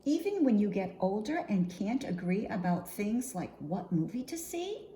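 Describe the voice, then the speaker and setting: A woman's voice: medium pitch, sing-song, normal speed, warm tone. A woman hosting a children's storytime, reading aloud and speaking simply and directly to young children. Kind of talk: picture-book read-aloud for young children. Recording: roomy echo, faint background noise.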